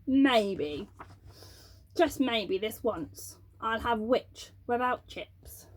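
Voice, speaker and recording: A woman voicing a mean, hungry male dragon in a bedtime story, slow and menacing. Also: on the high side, animated, exaggerated voice. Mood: sly, hungry.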